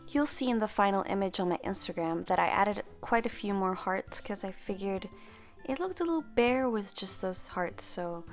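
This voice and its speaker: A young woman talks through a stamping tutorial in a friendly, chatty voice-over at a medium pitch. She sometimes stumbles and corrects herself mid-sentence, and soft music plays underneath. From half Filipino, grew up speaking Tagalog. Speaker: planner stamping vlogger.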